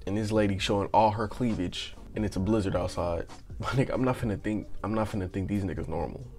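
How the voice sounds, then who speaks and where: A young man hosting a reaction video, talking in animated, slangy, joking commentary, his voice a little raspy from just waking up, recorded on an earbud mic. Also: lowish voice, fast, soft background music. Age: young adult. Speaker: reaction channel host. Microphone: earbud headset mic.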